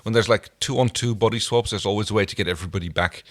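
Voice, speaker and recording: A man co-hosting a podcast, talking casually and a little haltingly as he searches for words. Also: fairly low pitch, dry recording, clean studio sound. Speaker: podcast co-host. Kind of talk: casual fan discussion.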